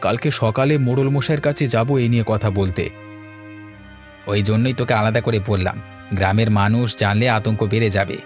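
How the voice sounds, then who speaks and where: A man's voice: fairly low pitch, brisk, soft background music, lightly acted. Man acting earnest village man in a cartoon. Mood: concerned. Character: earnest village fisherman and his worried neighbours, adult men. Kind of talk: Bengali cartoon voice acting, folk-tale dialogue.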